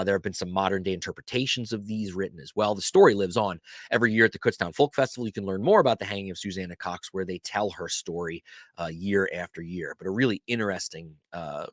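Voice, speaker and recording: A man's voice: lowish voice, a bit bright, no echo, clean studio sound. A man hosting a casual history lesson, talking conversationally with frequent fillers and self-corrections. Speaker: channel host teaching local history. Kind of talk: casual educational talk to camera.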